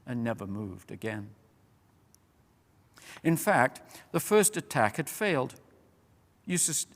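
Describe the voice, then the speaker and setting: A man reading a children's fantasy story aloud as a measured, expressive, dramatic narrator. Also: mid-range voice. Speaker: storyteller, reader. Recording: long reverberant hall.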